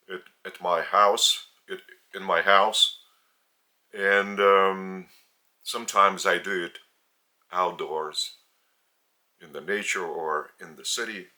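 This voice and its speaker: Slow, earnest Lithuanian-accented man. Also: low voice, pushed and bright. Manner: slow, deliberate and earnest, with many pauses. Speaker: religious teacher. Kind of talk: spoken spiritual teaching. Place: indoors at home.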